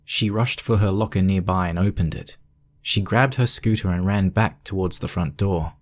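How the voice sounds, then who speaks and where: A man's voice: low voice, moderate pace. A man reading scripted story narration as the narrator of a piece of fan fiction.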